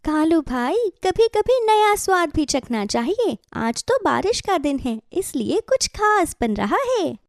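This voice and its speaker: A kind cartoon mother bird voiced by a woman, high and lively in pitch. She sounds cheerful and encouraging, recorded dry and close. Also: measured pace, few pauses, dry recording, full character voice. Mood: gentle, patient. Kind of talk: Hindi animated children's story dialogue.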